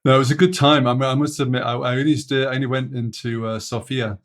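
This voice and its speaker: A man's voice: medium pitch, level tone, quick pace. A man co-hosting a podcast, bantering and telling rambling anecdotes. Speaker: podcast co-host. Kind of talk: casual podcast chat.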